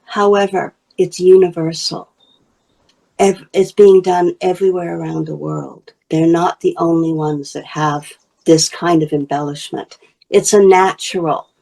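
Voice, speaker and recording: A woman from Wales talks easily about her basket making in a relaxed interview, pointing out pieces as she goes. Her voice sits on the lower side and carries a gravelly, crackly edge. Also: a little slow. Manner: chatty, explaining her craft while showing pieces. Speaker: basketry artist and teacher. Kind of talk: casual interview talk.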